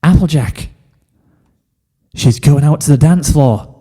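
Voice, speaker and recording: A man voicing a sweet, polite, dreamy little girl pony with a gentle, hesitant manner in a storybook reading. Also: fairly high pitch, takes it slowly, husky, long reverb tail. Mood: delighted.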